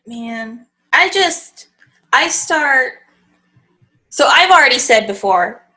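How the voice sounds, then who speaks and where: A young woman with a slightly nasal voice chats casually on a podcast, sounding a little congested from allergies. Her tone is bright and sits a touch high. She rambles and often cuts herself off to start over. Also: lively intonation, slow pace, rough recording. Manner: rambling, self-interrupting. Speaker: podcast co-host. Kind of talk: casual chat.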